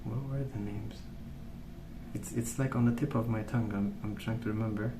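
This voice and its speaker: Soft-spoken man, very even low voice. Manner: hesitant, meandering, unhurried recollection. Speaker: ASMR video host. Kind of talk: soft-spoken ASMR rambling. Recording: roomy, distant mic, noisy background. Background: pencil colouring sounds, background noise.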